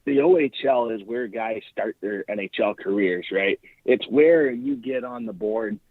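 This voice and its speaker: A man's voice: mid-range voice, very animated, a little slow, gravelly, dry recording. Chatty Ontario man calling in by phone. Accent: Ontario Canadian. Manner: enthusiastic, chatty, tags points with "right?". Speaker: podcast host calling in as guest analyst. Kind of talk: casual sports chat. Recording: narrow phone-line call.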